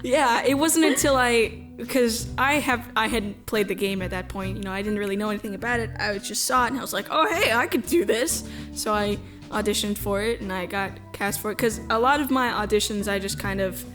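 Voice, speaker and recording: A woman from the American Midwest talks casually as a guest in an interview. Her mid-pitched voice is easygoing and rambling, with faint music underneath. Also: a little fast, hardly any pauses. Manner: easygoing, rambling, laughs often. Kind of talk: casual chat.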